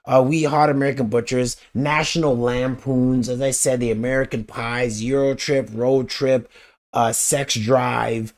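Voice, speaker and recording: A young man with a raspy voice hosting a reaction video, talking in a laid-back, slangy, rambling way. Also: mid-range voice, level tone, a little slow. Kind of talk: casual reaction commentary.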